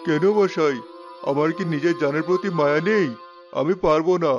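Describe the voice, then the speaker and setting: A high-pitched male village boatman, acted by a man, reluctant and easily scared. He grumbles theatrically in cartoon style, with faint music underneath. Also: normal speed, highs cut off, natural voice. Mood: grumbling. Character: reluctant, fearful village boatman, greedy for fare. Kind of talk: animated story acting.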